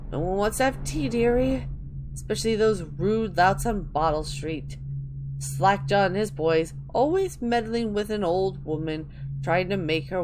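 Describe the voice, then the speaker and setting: A woman voicing an eerie, wistful old granny witch who rambles slowly in a dramatic reading of video game lore. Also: mid-range voice, background noise, natural voice. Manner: slow, wistful rambling with drawn-out endearments.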